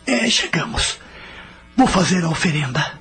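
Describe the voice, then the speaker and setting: A man's voice: fairly high pitch, animated, a little slow, bright, forceful tone. A man playing a stern, proud general and father in a radio drama, speaking in a solemn, commanding way. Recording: faint music under the voice.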